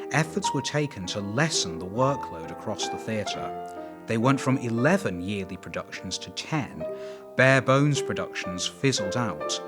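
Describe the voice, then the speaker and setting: A man delivers scripted documentary narration in a fairly low register. His delivery is animated and varied in tune, with music clearly playing underneath.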